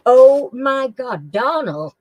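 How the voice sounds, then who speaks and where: A middle-aged woman from Sheffield with a Sheffield accent, hosting a casual live chat with viewers in a slow, homely way and often clearing her throat. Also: high voice, expressive, dry recording. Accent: Sheffield English. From Sheffield, England. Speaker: live stream host.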